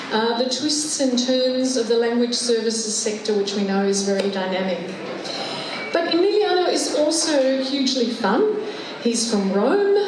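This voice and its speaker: A woman giving an enthusiastic introduction speech for a new chief executive, with frequent fillers. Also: fairly high pitch. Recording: echoey room, background noise.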